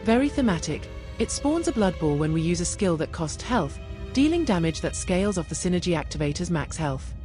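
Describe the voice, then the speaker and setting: Lively low-pitched woman narrating a game guide. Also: fairly quick, few pauses. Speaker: gaming video creator and narrator. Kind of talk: game build guide voice-over. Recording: music behind the voice. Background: music.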